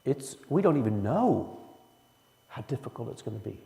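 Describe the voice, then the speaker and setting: A man's voice: mid-range voice, big pitch swings, normal speed, vocal fry, mellow, big-room sound. A man leading a church Bible study in a folksy way, asking the room questions as he teaches. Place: church hall.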